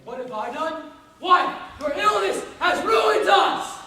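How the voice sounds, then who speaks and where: A man's voice: extremely high pitch, animated, slow pace, sharp tone, some chatter behind, full character voice. A young man playing a cold, bitter reverend husband in a school stage play. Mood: angry. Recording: distant echoey auditorium, audience noise.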